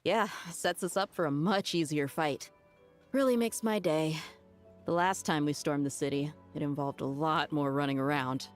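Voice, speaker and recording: A woman plays a brash fighting woman in a video game. Her voice sits on the low side, sardonic and casual, over faint music. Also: normal speed, lightly acted. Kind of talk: game voice acting.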